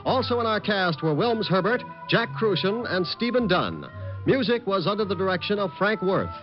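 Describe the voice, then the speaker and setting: An American male announcer in classic old-time radio style, with a high, lively voice. The recording is muffled, with music playing behind him. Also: moderate pace. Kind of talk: 1940s-50s scripted radio announcing.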